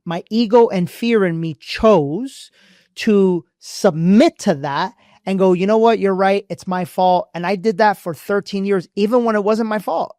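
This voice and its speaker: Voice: medium pitch, a little mellow, no echo. Blunt, preachy podcast co-host, dry mic. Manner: blunt, emphatic, swears freely; preachy motivational delivery. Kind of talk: casual relationship podcast chat.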